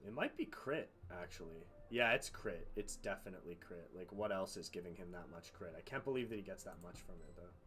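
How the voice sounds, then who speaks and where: A man's voice: low voice, lively intonation, a little fast, few pauses, a bit bright. A man doing casual live game commentary, thinking aloud and swearing freely. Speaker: game streamer. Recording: music behind the voice. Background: game music.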